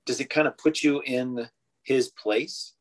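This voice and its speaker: A man's voice: mid-range voice, fairly even intonation, unhurried. A man in casual conversation about a classic film, thinking aloud and often restarting his sentences. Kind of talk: casual movie discussion.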